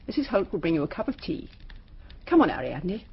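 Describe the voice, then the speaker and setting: A woman's voice: on the low side, big pitch swings, fairly quick, creaky, breathy edge, exaggerated voice. A woman playing a firm, breezy English mother in a radio drama, with an English accent. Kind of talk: British radio drama acting. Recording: dull radio drama recording.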